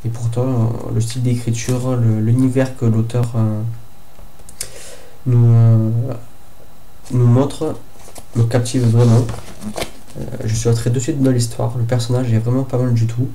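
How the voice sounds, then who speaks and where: Young man with a cold, dark flat voice. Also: mid-range voice, unhurried, long pauses, slight background noise. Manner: hesitant, lots of fillers and restarts. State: has a head cold. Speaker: book vlogger. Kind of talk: casual book review vlog.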